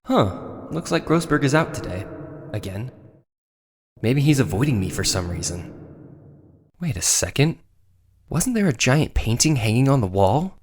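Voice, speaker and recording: A man's voice: mid-range voice, sing-song, measured pace, pauses often, husky. An actor voicing an exasperated but polite young male defence lawyer from a video game, with hesitant, sardonic asides. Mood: musing. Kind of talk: dramatized video game dialogue.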